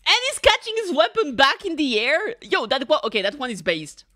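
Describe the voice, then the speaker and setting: A young woman streamer giving casual, expressive, joking reaction commentary full of slang. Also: high voice, brisk.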